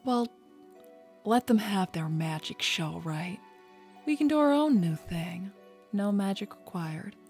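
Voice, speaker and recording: A coy, teasing cartoon bat lady played by a woman, flirty and sassy. Her pitch is mid-range, with faint music behind. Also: lively intonation, slow, a little mellow. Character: flirty, sassy adult female bat thief. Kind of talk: fan-made animated series voice acting.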